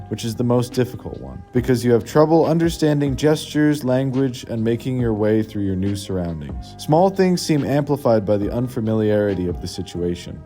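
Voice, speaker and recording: A man narrating a student documentary, reading a script in a measured way and going through items one by one. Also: mid-range voice, mellow.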